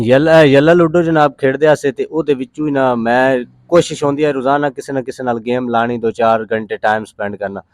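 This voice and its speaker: A man's voice: mid-range voice, steady tone, normal speed, no room sound, studio quality. A man from Pakistan with a Punjabi accent, telling his story casually as an interview guest in a rambling, plain-spoken way.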